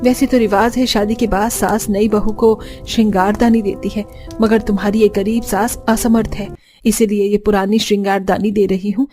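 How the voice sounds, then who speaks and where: A woman's voice: mid-range voice, flat delivery, moderate pace, dark tone, soft background music, lightly acted. A woman playing a poor, tender, self-effacing middle-aged mother-in-law in a cartoon story. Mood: apologetic.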